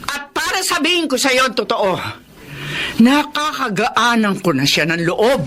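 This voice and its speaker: A woman's voice: fairly high pitch, expressive, measured pace, fairly bright tone. A woman playing an older widowed mother in a melodramatic Filipino radio drama, firm, reproachful and plain-spoken. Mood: firm, frank. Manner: firm, reproachful, matter-of-fact.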